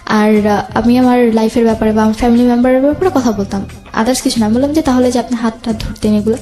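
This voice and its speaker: High-pitched young woman telling her story. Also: fairly even intonation, fast, dark tone. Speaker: guest telling own life story. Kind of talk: personal storytelling in interview. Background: background music.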